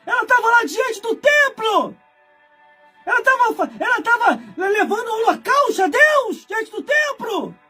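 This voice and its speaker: A man in his forties, a preacher from Brazil, delivering a fiery sermon with shouted, scolding emphasis and heavy repetition. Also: very high-pitched, big pitch swings, measured pace, soft background music. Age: 40s.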